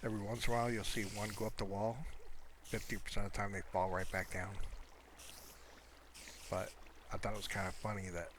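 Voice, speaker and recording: A man giving casual, rambling gameplay commentary, explaining things in an unhurried way as he plays. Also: lowish voice, level tone, noisy background. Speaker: gamer showing a Minecraft build.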